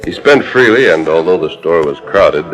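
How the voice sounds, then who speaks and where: Deep, dramatic American radio narrator. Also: male voice, expressive, normal speed, rough recording. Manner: grand, declamatory delivery. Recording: old 1940s radio transcription disc.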